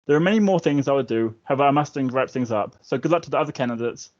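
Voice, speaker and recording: A young man with a New Zealand accent and a mid-pitched voice gives a scripted campaign speech. He now and then trips over a word, and the recording is a little dull and lo-fi. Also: fast, darkish tone. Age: young adult. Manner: reads from a script, occasionally stumbling. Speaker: student election candidate.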